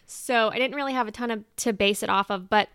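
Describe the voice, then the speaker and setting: A woman's voice: on the high side, fast talker, a bit bright, smooth, no echo, clean studio sound. A woman co-hosting a podcast in casual chat, speaking informally with plenty of "like". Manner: informal, peppered with "like". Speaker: podcast co-host.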